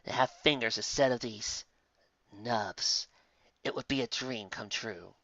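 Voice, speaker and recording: A man's voice: mid-range voice, measured pace, sharp tone, dry recording, dull audio. A man voicing an eccentric, gushing female pony with a theatrical, dreamy manner for a story. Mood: disgusted. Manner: breathy dreamy sighing, theatrical.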